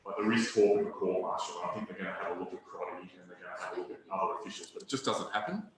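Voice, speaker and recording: A man giving a history talk to an audience, in a measured academic way with frequent restarts. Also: deep voice, fairly even intonation, crowd noise. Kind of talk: lecture with audience Q&A. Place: hall with audience. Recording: very echoey room recording.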